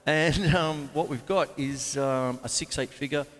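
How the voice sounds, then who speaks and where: A man's voice: fairly high pitch, a little slow, in a large hall, very noisy. A man hosting a casual drum lesson to camera, chatty and rambling with jokey asides. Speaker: drum teacher and show host. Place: home studio with drum kit.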